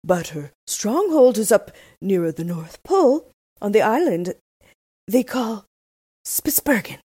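A woman's voice: mid-range voice, very animated, unhurried, mellow, breathy edge, dry recording, clean studio sound, voice pushed up. A woman doing a proud reindeer. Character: proud, free-spirited reindeer.

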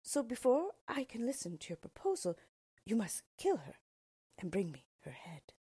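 A woman's voice: a little high, sing-song, moderate pace, warm tone, husky, no echo, pitched above the performer's own voice, heavily acted. A woman voicing a proud, stubborn young princess who gives haughty commands. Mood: demanding.

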